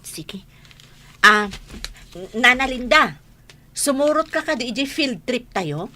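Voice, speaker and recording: A woman's voice: sits high, animated, slow pace, bright, forceful tone, a little hiss or hum, full character voice. A woman with an Ilocano accent playing a gossipy, indignant office worker in a radio comedy drama. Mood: eager.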